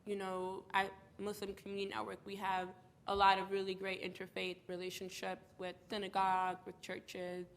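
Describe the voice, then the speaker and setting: A woman's voice: mid-range voice, monotone, moderate pace. A woman from the Bronx, stuffy from a cold, speaking earnestly and reflectively as a panelist. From United States (the Bronx, New York). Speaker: panelist, community organizer. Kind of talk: panel talk.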